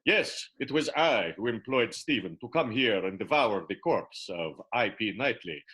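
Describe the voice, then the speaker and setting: Suave, self-important gentleman villain, a man acting: husky, very animated, theatrical radio-play style. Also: fairly low pitch, normal speed. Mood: pompous. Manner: pompous, hesitant hemming, theatrical. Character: suave, pompous vaudeville gentleman villain in smoking jacket. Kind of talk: improvised radio soap opera acting.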